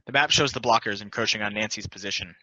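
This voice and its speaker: Bright-voiced man reading stage directions. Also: on the low side, quick pace, highs cut off. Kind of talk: scripted narration.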